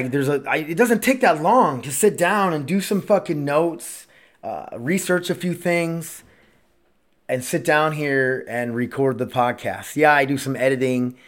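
A man in his late thirties talks through his own podcast alone. His voice sits in an ordinary middle pitch. He wanders from thought to thought, restarts his sentences and drops curse words casually. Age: 30s. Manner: rambling, profane, self-interrupting. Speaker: podcast host.

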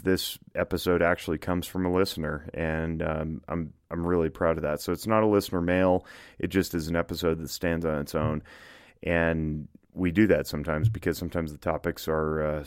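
A male podcast host talking casually on his own, his voice low and a little scratchy from smoke, with a hesitant pace full of fillers and restarts. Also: clean tone, dry recording, studio quality. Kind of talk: casual solo podcast talk. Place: home studio in the Reno area.

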